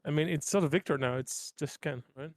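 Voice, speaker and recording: A man's voice: a little high, brisk. A man chatting casually as a player in a tabletop roleplaying game. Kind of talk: casual chat.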